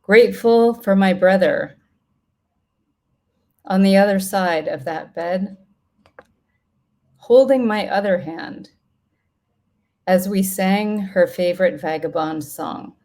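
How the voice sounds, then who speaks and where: A middle-aged woman reads a reflective personal letter aloud in a solemn, tender, measured way. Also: medium pitch, darkish tone. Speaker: writer reading own letter aloud.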